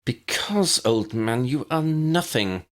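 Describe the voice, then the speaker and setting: A man's voice: mid-range voice, lively intonation, slow pace, voice pushed up. A man voicing an imperious, scornful young witch villain in a fantasy audiobook, snappish and sneering. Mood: impatient, commanding. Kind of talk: fan-fiction audiobook character voice.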